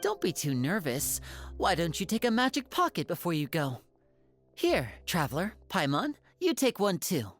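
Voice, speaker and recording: A courteous, thoughtful young magician's assistant from a video game. Her voice is low for a woman yet animated in pitch, with calm, articulate phrasing. Faint music plays underneath. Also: normal speed. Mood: friendly, reflective.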